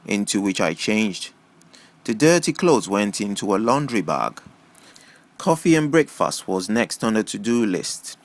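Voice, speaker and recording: A man's voice: lowish voice, no room sound. A man reading a first-person novel aloud as scripted audiobook narration. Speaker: audiobook reader.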